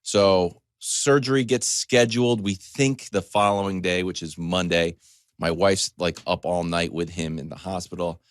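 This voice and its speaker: A man in his forties, a sports talk host, telling a comedic story in a casual, animated way with choppy asides and acted-out quotes. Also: low voice, a little slow, no echo, clean studio sound. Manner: animated, acts out quotes in dialogue.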